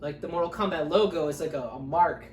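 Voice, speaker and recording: A man's voice: a little high, fast talker. A man chatting casually and unscripted in a film review, rambling off track and swearing in exasperation. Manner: profane, exasperated, digressive. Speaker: co-host of a film review show. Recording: echoey room, distant mic, faint noise.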